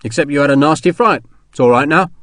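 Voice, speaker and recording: A man voicing a rough but kindly young army sergeant in an audiobook, with blunt, chatty, colloquial working-class speech. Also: fairly high pitch, fast, a bit bright, dry recording, close to an everyday voice. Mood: soothing.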